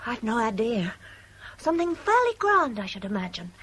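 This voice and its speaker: An actress playing a polite, coy young heiress in a 1970s radio drama, speaking in a courteous manner. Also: female voice, fairly high pitch, very animated, normal speed, fairly bright tone. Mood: polite, relaxed. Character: polite, demure young Chinese heiress in Hong Kong. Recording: slightly noisy 1970s radio drama recording.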